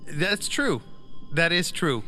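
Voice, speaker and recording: A man chats and improvises as a game player, often hesitating and rambling. His voice is bright and fairly high, with lively intonation. The talk is casual and informal. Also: slow pace, faint music behind. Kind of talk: casual improvised roleplay chat.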